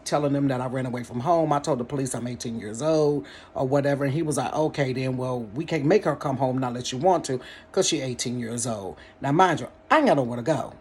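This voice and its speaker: A woman's voice: very deep voice, slight background noise. Laughing woman telling a story. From Cincinnati, United States. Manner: animated, laughs often, talks straight to the viewers. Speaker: storyteller vlogger. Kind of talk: casual storytime monologue to viewers. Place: her bedroom.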